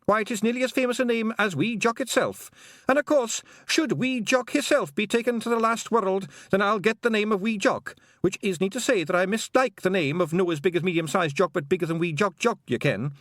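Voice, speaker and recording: A man's voice: sits high, a little fast, a bit bright, no echo, clean studio sound, pitched above the performer's own voice. A man voicing a tiny, earnest male pixie warrior with a Scottish accent who talks at length. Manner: earnest, long-winded.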